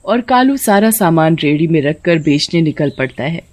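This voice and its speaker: Female storyteller, slightly low pitch, scripted story narration. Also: expressive, normal speed, darkish tone.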